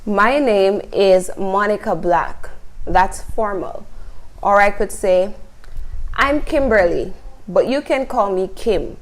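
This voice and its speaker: Woman, english teacher, very slow deliberate pace, drawn-out example phrases. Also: medium pitch, poor audio. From Jamaica. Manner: slow, careful, drawn-out model phrases with frequent "Good?" checks. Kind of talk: online English lesson.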